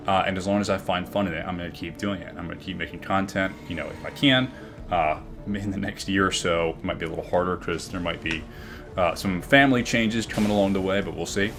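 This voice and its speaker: A man with a booming voice talking earnestly about his gaming channel, often reflective, with frequent fillers and restarts. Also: fairly bright tone. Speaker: gaming YouTuber. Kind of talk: game commentary and channel talk.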